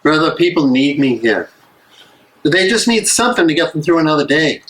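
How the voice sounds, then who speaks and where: A man acting an earnest, weary American minister of the 1930s in a live dramatic reading, speaking emphatically and deliberately. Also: on the high side, fairly bright tone, low-quality recording. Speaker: actor in a dramatic play reading.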